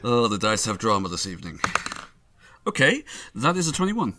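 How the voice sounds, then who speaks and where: A man from southern England with a southern English accent, playing in a tabletop game with animated, joking talk. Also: lowish voice, moderate pace, background noise. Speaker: player. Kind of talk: casual tabletop game play.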